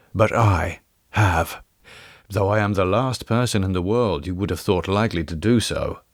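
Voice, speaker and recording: A man voicing a big, sceptical gentleman who talks in an assertive, articulate, explanatory way in an audiobook ghost story. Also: on the low side, no room sound, clean studio sound.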